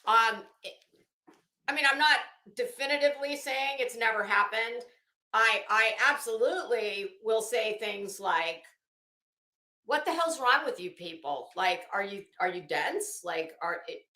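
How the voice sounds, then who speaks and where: A woman's voice: medium pitch, measured pace, sharp tone. A woman host chatting casually and bluntly with viewers on a livestream, informal and talkative. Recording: echoey room.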